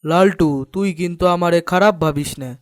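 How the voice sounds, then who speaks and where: A man's voice: sits high, a little fast, smooth, lightly acted. A man voicing a sly, greedy older village headman in a cartoon, speaking in an East Bengali dialect with a self-important, conspiratorial manner. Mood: sly, scheming. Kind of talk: cartoon dialogue acting.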